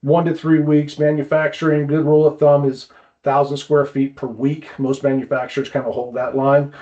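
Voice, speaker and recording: A man's voice: a little high, flat delivery, moderate pace, mellow. Man explaining informally on slightly dull audio. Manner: conversational, explanatory. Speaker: presenter.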